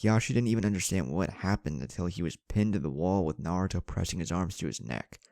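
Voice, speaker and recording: A man's voice: sits low, animated, quick pace, darkish tone. A man reading a scripted story as a narrator, voicing the dialogue as he goes. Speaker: fan fiction narrator. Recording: music bed under the voice.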